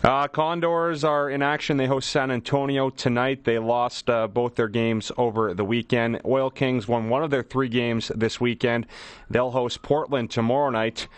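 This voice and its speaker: A man's voice: mid-range voice, fairly even intonation, normal speed, clear, resonant tone, dry recording. A man co-hosting sports radio and running through the news of games.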